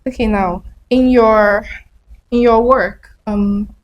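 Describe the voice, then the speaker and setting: A young woman reading her own reflective prose in a slow spoken-word style, then asking questions as host. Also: fairly high pitch, steady tone, rough recording. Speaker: host and writer.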